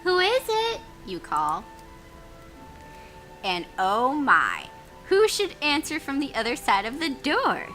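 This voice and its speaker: An excitable, geeky woman playing a story game, reading it out playfully with self-mocking asides. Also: high voice, slow pace, pauses often. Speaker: gaming channel player reading out a story game. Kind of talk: playful Let's Play reading with joking asides. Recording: music playing behind the voice.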